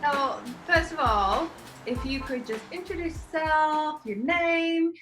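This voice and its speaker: Woman host, upbeat and giggly, talking over a clear music bed. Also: high voice, slow. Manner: upbeat, warm asides, giggly. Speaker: host, interior designer. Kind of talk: YouTube channel intro and interview.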